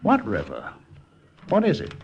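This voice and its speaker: A man's voice: on the low side, wildly swooping pitch, a little slow, lo-fi sound, slight background noise, heavily acted. An actor playing an American wartime airman who recounts his mission in urgent, clipped, old-time radio style. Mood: tense, wary. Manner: urgent, clipped, dramatic delivery. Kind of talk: 1950s radio adventure acting and narration. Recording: old radio recording, loud dramatic music.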